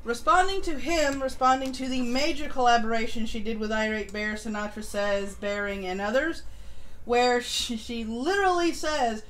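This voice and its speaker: Higher-voiced woman co-hosting a live stream. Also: moderate pace, fairly bright tone, rough recording. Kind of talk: casual live-stream commentary. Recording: home live stream with background noise.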